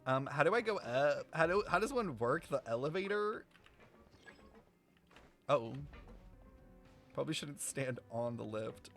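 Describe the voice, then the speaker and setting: A young man chats casually to his stream while reacting to a game. His voice is a little high and highly animated, with slangy, expressive phrasing and faint game music behind it. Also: measured pace, long pauses. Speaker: livestreamer.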